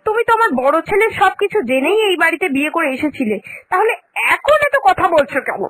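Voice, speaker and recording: A stern older mother-in-law voiced by a woman for a cartoon, high and piercingly bright. She scolds defensively, her pitch moving up and down a great deal. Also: big pitch swings, brisk, no echo, exaggerated voice, rough recording. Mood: defensive, scolding. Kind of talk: cartoon voice acting.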